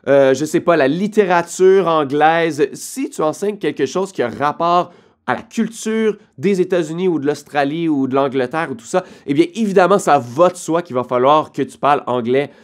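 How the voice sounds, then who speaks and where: A man's voice: a little high, moderate pace. A man with a Québécois French accent, casually addressing viewers as a vlogger with direct, emphatic advice. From Quebec, Canada. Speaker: online business creator.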